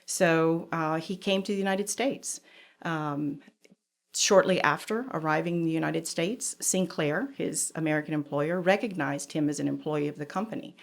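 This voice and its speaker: A woman around seventy, a furniture designer, recalling her life in a reflective, measured conversational way. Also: deep voice, vocal fry. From Cuba, raised in Georgia. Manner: reflective storyteller, measured with small pauses. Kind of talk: conversational interview.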